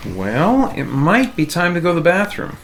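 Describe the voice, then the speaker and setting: A man's voice: fairly high pitch, huge pitch swings, normal speed, slight background noise. A man streaming a game, chatting casually and muttering to himself in a distracted way. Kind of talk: casual chat while gaming on a live stream.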